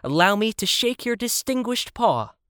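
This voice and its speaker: Storybook child geographer acted by an adult narrator: self-important, emphatic, raised pitch, lively swings. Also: moderate pace, dry recording, studio quality, lightly acted. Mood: eager, pompous. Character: earnest, bossy child explorer playing geographer.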